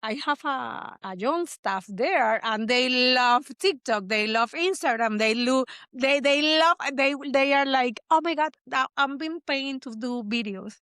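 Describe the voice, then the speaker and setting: A woman's voice: sits high, lively intonation, unhurried, few pauses, bright, forceful tone, dry recording, studio quality. A middle-aged bakery owner from Puerto Rico, a woman who speaks English as a second language with a Puerto Rican Spanish accent. She answers interview questions earnestly, often repeating words and restarting.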